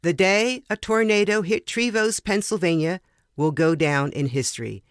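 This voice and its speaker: A woman reading a first-person story aloud in a measured, dramatic way with deliberate pauses. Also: on the low side, no room sound, studio quality. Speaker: podcast reader.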